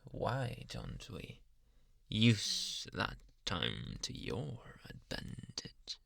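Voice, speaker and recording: A man playing a possessive yandere psychiatrist in an ASMR roleplay, soft-spoken, polite and quietly menacing, with hushed, insinuating questions. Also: lowish voice, wildly swooping pitch, gravelly, dry recording, studio quality, heavily acted. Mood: soft, probing. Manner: slow, hushed, insinuating, many pauses and questioning hums. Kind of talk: ASMR roleplay, close whispering.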